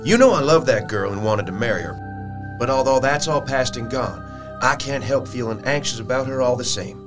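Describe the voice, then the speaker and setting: An actor playing an earnest, blunt young suitor with a folksy, emphatic way of talking in a gothic audio drama. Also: male voice, medium pitch, normal speed, close to an everyday voice. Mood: anxious, earnest. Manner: plain-spoken, folksy, emphatic. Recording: music playing behind. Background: music.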